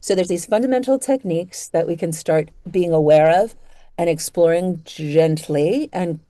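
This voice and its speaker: Female interview host, lowish and very mellow, thoughtful and conversational, working her way into questions phrase by phrase. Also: normal speed.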